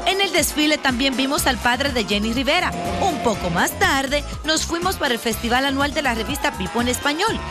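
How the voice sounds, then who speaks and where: A woman's voice: sits high, expressive, a little fast. A woman reporter narrating a gossip segment in a sassy, humorous voice-over. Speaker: entertainment reporter. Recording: voice-over with loud music and crowd.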